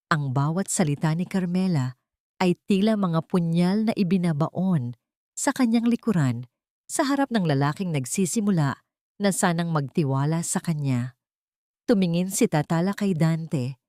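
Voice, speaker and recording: A woman's voice: lowish voice, a little mellow, dry recording, studio quality. A woman reading a scripted dramatic love story as an audiobook narrator.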